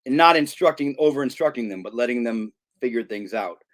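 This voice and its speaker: A man's voice: medium pitch, animated, a little fast, a bit bright. Reflective man telling stories as a guest. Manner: reflective, storytelling, frequent restarts. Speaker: author and youth sports guest. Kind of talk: casual interview chat.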